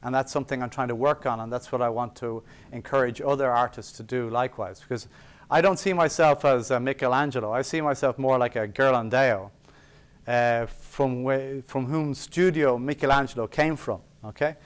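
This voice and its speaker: A man lectures in an auditorium in a smooth, resonant voice pitched in the middle range. He speaks earnestly and thoughtfully, pausing to gather his ideas and adding wry, self-deprecating asides. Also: fairly even intonation. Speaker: sculptor giving a lecture. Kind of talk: public lecture on sacred art. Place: college auditorium.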